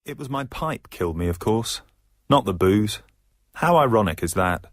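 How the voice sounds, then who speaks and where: Lowish guy acting, wry and reflective, measured radio monologue, really close dry sound. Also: animated, no echo, close to an everyday voice.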